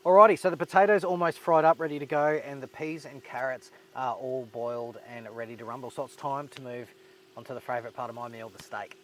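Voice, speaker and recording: A man with an Australian accent vlogging casually at an outdoor bush campsite while he cooks, rambling and relaxed, a little snuffly from a blocked nose. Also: mid-range voice, a little hiss or hum, low-quality recording. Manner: relaxed, rambling, laid-back slang. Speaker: overlanding vlogger. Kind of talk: casual outdoor vlog narration while cooking.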